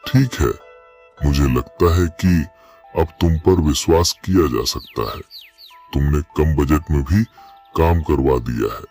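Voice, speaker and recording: A man's voice: rumbling low, unhurried, darkish tone, faint music behind. A man playing an aging lion king in a cartoon story, stately and trusting, with weighty regal speech. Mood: approving, trusting. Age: older. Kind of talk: cartoon story character acting.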